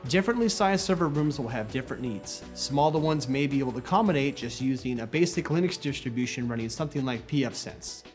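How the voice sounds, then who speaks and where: A man in his thirties presenting a scripted explainer to camera in a measured, deliberate way. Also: a little high. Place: small indoor studio set. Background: background music.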